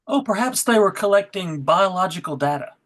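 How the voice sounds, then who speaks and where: A woman's voice: medium pitch, expressive, fairly quick, natural voice. A woman playing a courteous, clinical official in a comedy sketch read, tentative and politely probing.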